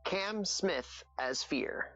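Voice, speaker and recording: A woman's voice: lowish voice, animated, extremely slow, fairly bright tone, dry recording, highs cut off, faint music behind. A woman reading out cast credits as a scripted announcement.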